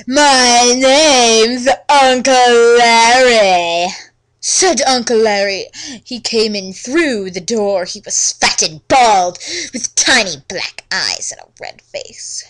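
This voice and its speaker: A woman's voice: medium pitch, expressive, takes it slowly, fairly bright tone, poor audio. A woman giving a mock-dramatic first-person story reading, with melodramatic, exaggerated emphasis. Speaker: reader of a story. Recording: music under the voice.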